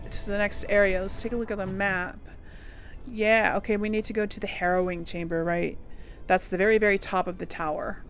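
A woman's voice: medium pitch, a bit bright. A woman giving casual gameplay commentary, thinking aloud and musing to herself as she plays. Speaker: game streamer, player of a role-playing game.

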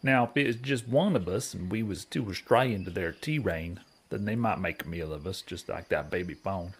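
An actor playing a folksy, earnest frontier guide with a drawling rural Western American accent in a western audio drama. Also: male voice, low-pitched, moderate pace, slightly rough. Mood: hushed, explaining.

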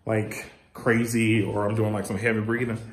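A man's voice: lowish voice, level tone, normal speed, slightly rough. Male vlogger in his 30s with a congested, nasal voice, chatty and emotional, filming on a handheld phone at home. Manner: chatty, emotional, addresses viewers as y'all. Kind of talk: casual home-tour vlog. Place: house being packed up, indoors. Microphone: handheld phone camera.

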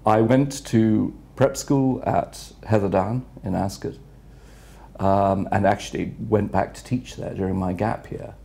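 Gravelly, mellow-voiced older gentleman. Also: lowish voice, fairly even intonation. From England. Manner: measured, reflective, unhurried with frequent pauses. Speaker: retired headmaster, guest. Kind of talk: interview answers. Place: office room with city view window.

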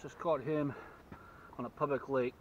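A man's voice: medium pitch, normal speed. Man narrating fishing from a boat. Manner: excited, talking to himself while handling fish. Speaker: fishing channel host. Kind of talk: casual fishing vlog narration. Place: small boat on an open lake outdoors. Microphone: action camera mounted on the boat. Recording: distant camera mic, dull sound, wind noise.

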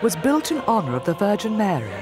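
A woman reading a documentary narration in a measured, expressive way. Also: medium pitch. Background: music.

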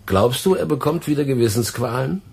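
An actor playing a dry, sardonic radio technician with a husky voice and laconic delivery in a radio play. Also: male voice, medium pitch, lively intonation, fairly quick, a little mellow, a little hiss or hum. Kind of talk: German radio play acting.

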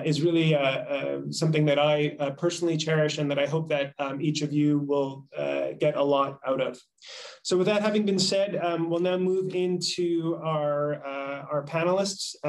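A man in his thirties moderating a formal panel over a video call, speaking in a measured, polite and halting way. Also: on the high side. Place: small office, indoors. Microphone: video call microphone.

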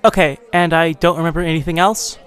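A woman's voice: low-pitched, steady tone, fast talker, clean tone. A woman chatting casually as a player in a tabletop role-play game.

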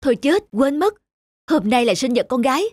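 Fairly high female voice, busy office worker, brisk, courteous, cartoon dialogue, music behind. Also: lively intonation, a little mellow. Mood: busy, worried. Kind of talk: cartoon dubbing, everyday dialogue.

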